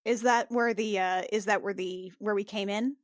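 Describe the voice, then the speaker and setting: A woman's voice: mid-range voice, steady tone, normal speed, no room sound. Female tabletop player, dry close recording. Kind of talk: casual in-game conversation.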